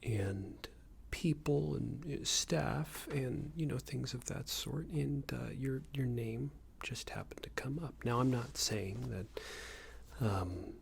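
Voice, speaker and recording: A man playing a smarmy, passive-aggressive company boss in a soft-spoken ASMR roleplay, hesitant and condescending, often half-whispered. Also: medium pitch, slow, a little hiss or hum. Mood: falsely friendly. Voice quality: breathy, half-whispered, creaky. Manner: hesitant, hedging, condescending, rambling corporate small talk.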